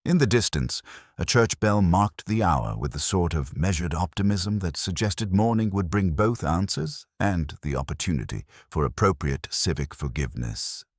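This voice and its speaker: A man reading a scripted mystery story as narrator, in a measured, formal, literary manner. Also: low-pitched, animated, no room sound, studio quality.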